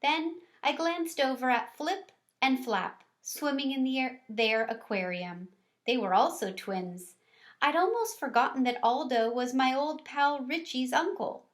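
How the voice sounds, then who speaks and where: A woman reading a children's book aloud in a casual, expressive storytelling style. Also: high voice, measured pace.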